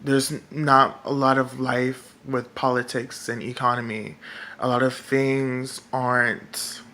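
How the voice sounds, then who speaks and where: A man with a feminine-sounding voice speaks slowly and haltingly, with a gravelly edge and a bright, tense tone. He rambles through his thoughts, stopping and restarting often. It sounds like a casual monologue for a vlog. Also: mid-range voice, flat delivery, a little hiss or hum. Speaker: political vlogger.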